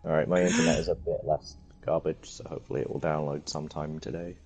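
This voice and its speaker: A man's voice: very deep voice, little pitch movement, fast talker, a little mellow, breathy edge, soft background music. A young man talking through a game in a laid-back, dry way and explaining a character to friends. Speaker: experienced player giving tips. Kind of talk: casual gaming talk.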